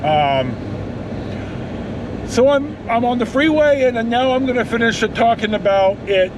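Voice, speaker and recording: A middle-aged American man vlogging in a casual, rambling storytelling style, halting and blunt, with some mild swearing. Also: very high voice. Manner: halting, blunt, mildly profane. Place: inside a pickup truck on the road. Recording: steady vehicle cabin noise.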